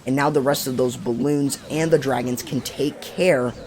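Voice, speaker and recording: A man with a voice roughened to a rasp talks through a game strategy guide in a relaxed, chatty way. His pitch sits in an ordinary middle range, and he explains each step plainly, often correcting himself mid-sentence. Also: moderate pace, slight background noise. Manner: casual, explanatory, self-correcting. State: raspy voice. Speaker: gaming channel host.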